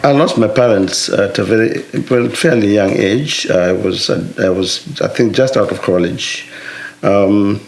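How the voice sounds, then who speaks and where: A Kenyan man, founder of a foundation, telling his story in a halting, measured way with many pauses. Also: on the low side, vocal fry. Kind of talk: personal account, interview-style.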